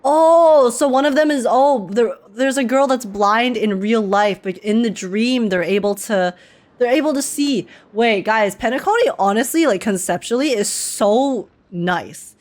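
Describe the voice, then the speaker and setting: A woman's voice: a little high, measured pace. A woman streamer giving informal, slangy and exclamatory live reactions to a game. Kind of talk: casual live reaction commentary. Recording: game music behind the voice.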